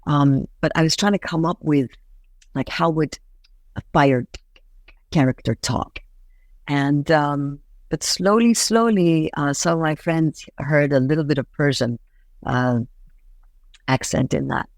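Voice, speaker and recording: A woman's voice: low-pitched, measured pace, long pauses, no room sound. A woman born in Iran, an actress being interviewed, talking earnestly with many fillers and restarts. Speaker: voice actress guest. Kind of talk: interview answers.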